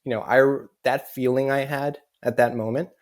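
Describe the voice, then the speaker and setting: A man's voice: medium pitch, steady tone, normal speed. An American man telling a personal story as a podcast guest, in an earnest way and with lots of "you know" fillers. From United States. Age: adult with children.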